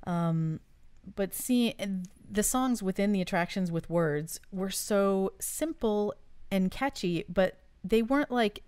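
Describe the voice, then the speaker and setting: A middle-aged woman co-hosting a casual home podcast, chatting enthusiastically and reminiscing, close on a studio boom mic. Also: takes it slowly, darkish tone, smooth, no room sound. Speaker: podcast co-host. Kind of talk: casual chat. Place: home studio room with shelves of collectibles. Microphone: studio mic on boom arm.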